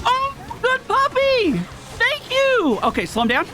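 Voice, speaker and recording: A man giving casual, animated live commentary as a gaming host, exclamatory and swearing freely. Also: extremely high pitch, slow pace. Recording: game music behind.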